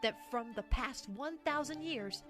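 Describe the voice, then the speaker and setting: Ambitious, haughty young female unicorn played by a woman, high voice, boastful delivery, pony fan drama. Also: expressive, measured pace, music in the background. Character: vain, ambitious young female unicorn student, entitled and jealous. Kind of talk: animated fan audio drama.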